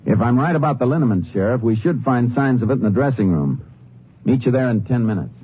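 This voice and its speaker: An actor playing a shrewd, stern Texas Ranger in 1950s radio drama, with a clipped, authoritative manner. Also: male voice, medium pitch, lively intonation, fast talker, close to an everyday voice. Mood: analytical. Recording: muffled, faintly noisy old radio recording.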